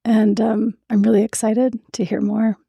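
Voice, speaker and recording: A woman's voice: a little high, little pitch movement, moderate pace, vocal fry, dark tone, slightly rough, no echo. Woman hosting an interview, dry studio sound. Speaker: podcast host and interviewer. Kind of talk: scripted introduction and interview.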